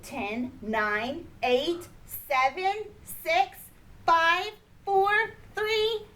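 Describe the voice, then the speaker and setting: A woman's voice: extremely high pitch, very slow, fairly bright tone. A woman reading a children's picture book aloud expressively, drawing out key words for emphasis. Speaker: storybook reader. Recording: distant mic, faint background noise.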